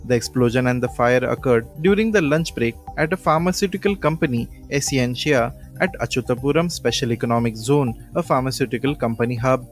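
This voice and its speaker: A man's voice: medium pitch, brisk, few pauses, soft background music. A man with an Indian accent presenting a scripted radio news bulletin.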